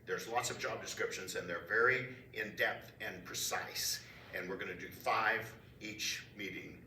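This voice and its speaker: A man reading out a committee statement at a church council meeting, upbeat, with pauses between phrases. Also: on the low side, fairly even intonation, pushed and bright. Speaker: committee member giving a report. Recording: echoey room, mic far off, faint noise.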